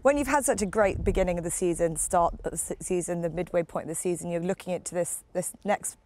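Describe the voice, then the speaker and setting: Woman, slightly low pitch, TV interviewer, conversational questions, recorded outdoors. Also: fast talker, darkish tone. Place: outdoors in a garden.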